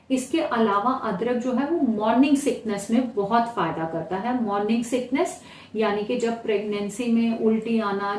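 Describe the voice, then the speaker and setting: High-pitched Indian woman in an echoey room. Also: darkish tone. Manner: instructional, glosses terms in two languages. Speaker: Ayurveda health presenter. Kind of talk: explanatory home-remedy lesson. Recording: roomy echo, distant mic, faint noise.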